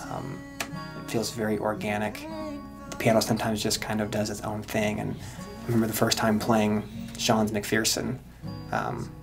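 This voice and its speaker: A man, a country singer-songwriter, talking earnestly in a casual interview with lots of filler pauses. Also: lowish voice, creaky. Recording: music playing underneath.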